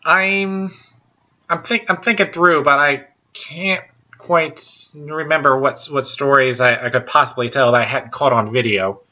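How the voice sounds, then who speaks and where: Slow, halting man with a bright baritone. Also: steady tone, muffled recording. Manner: slow and halting, many ums and restarts. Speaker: podcast host. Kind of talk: solo casual talk show.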